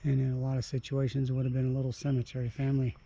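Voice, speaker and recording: Gravelly-voiced man marvelling on camera. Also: medium pitch, completely flat delivery, very fast, mellow, slight background noise. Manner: marvelling, keeps saying wow, reads signs aloud. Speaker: vlogger. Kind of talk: casual walking-tour commentary. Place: small museum and outdoor historic village. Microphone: action camera mic. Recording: slightly dull action-camera audio.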